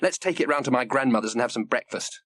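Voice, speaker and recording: A man's voice: medium pitch, lively intonation, fast talker, a bit bright, dry recording, studio quality. A man voicing a sulky, stubborn schoolboy in a children's story, grumbling.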